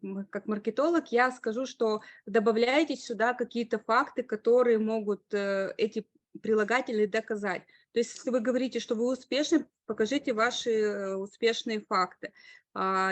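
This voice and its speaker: A woman's voice: on the high side, no room sound. Dry-voiced woman explaining with hesitations. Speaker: webinar speaker giving career advice. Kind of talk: online webinar talk.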